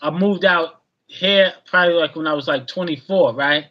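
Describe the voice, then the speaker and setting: A man's voice: fairly high pitch, moderate pace, lo-fi sound. A man in his forties from Queens chatting as a guest on a video call. He is easygoing and keeps adding "you know what I mean" to his sentences. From Queens, New York. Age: 40s. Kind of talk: casual chat.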